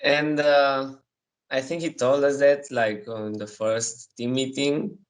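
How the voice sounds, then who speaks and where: A young man answers questions in an online class. His voice sits in a middle pitch, and he talks in a hesitant, halting way. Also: steady tone. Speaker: student. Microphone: video call.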